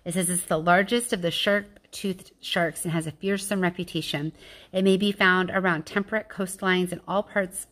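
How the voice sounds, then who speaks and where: A woman's voice: fairly low pitch, steady tone, normal speed, a bit bright. A woman reading a children's picture book aloud like a teacher, explaining things with informal asides and questions to her listeners.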